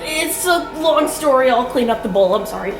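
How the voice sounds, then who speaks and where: A woman's voice: high-pitched, animated, brisk, echoey room, over music. A woman playing a stubborn runaway teen girl. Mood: apologetic. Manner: evasive, stubborn, apologetic. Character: defiant runaway teenage girl squatting in a house. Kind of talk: audio drama acting.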